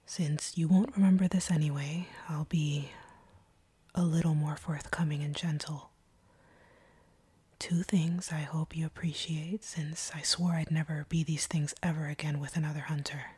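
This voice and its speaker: A woman playing an ancient vampire captor, stern but softening, in a breathy, husky whisper for an intimate audio roleplay. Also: deep voice, level tone, dry recording. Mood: reluctantly tender, apologetic. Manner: slow, deliberate, hushed.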